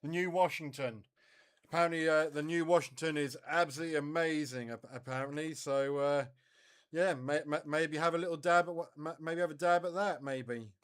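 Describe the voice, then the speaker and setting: Clear-voiced Englishman chatting on CB radio. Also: on the high side, steady tone. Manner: halting, repeats words. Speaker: CB radio operator. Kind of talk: casual radio chat between hobbyists.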